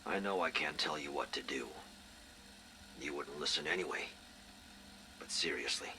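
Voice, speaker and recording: An actor playing a protective male military officer who speaks low and steady, cautioning. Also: moderate pace, slight background noise, full character voice.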